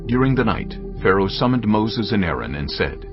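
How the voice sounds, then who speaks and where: A man narrating scripture in a steady, deliberate way. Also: lowish voice. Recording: dull audio over music.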